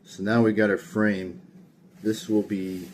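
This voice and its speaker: A tired man with a very low, monotone voice talks his way through a workshop project, rambling and dropping fillers as he goes. A faint hum of background noise sits under his voice. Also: measured pace. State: tired late at night. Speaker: hobbyist gunsmith. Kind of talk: casual workshop walkthrough. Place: home workshop.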